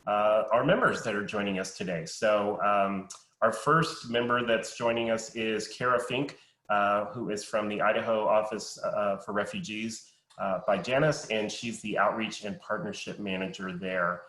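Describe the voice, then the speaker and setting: A man's voice: lowish voice, steady tone, moderate pace, fairly bright tone, echoey room. A man hosting an online webinar in a casual, easygoing way, with plenty of "um"s and "uh"s. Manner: easygoing, many fillers. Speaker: webinar host.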